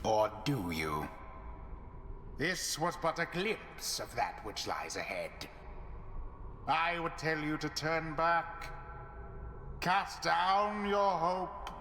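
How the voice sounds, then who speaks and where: A man's voice: fairly high pitch, animated, slow, long pauses, exaggerated voice. An actor playing a stern, disdainful male judge who narrates ancient rites in a video game, speaking in a solemn, grand manner. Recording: echoey hall with loud music. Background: game music.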